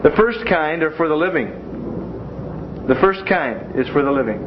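A man's voice: fairly high pitch, animated, normal speed. A man leads a Bible lesson in a classroom in a folksy manner, with joking asides. The recording is dull and lo-fi, and faint hiss runs beneath his voice. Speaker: Bible teacher.

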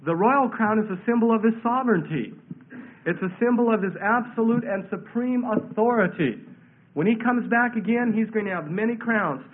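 A man's voice: sits high, normal speed. A man preaching a verse-by-verse Bible sermon, congested from a head cold, emphatic and declamatory, repeating phrases for weight. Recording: thin phone-like radio sound.

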